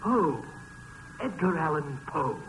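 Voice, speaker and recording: An actor playing an effusive, doomed gentleman host with a florid, theatrical manner in an old radio horror drama. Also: fairly high pitch, very animated, slow pace, full character voice, rough recording. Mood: astonished. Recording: hissy vintage 1950s radio recording.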